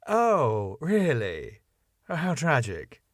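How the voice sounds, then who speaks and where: A man's voice: mid-range voice, wildly swooping pitch, extremely slow, no echo, exaggerated voice. A man voicing a dry, sceptical, sardonic wife of a club owner in a sitcom script reading. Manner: sardonic, questioning.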